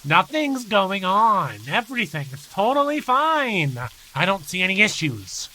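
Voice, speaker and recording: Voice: medium pitch, big pitch swings, unhurried, sharp tone, low-quality recording. An actor in an audio drama playing a flustered, evasive wise monkey creature who insists and denies. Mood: evasive denial. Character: one of three wise monkeys, evasive, indignant creature in denial.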